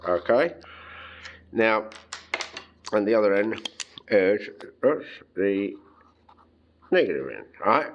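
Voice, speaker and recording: A man talks through a homemade electronics demonstration at an average pitch. He is chatty and informal, explaining things off the cuff with an enthusiast's interest. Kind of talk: casual hands-on tutorial, unscripted.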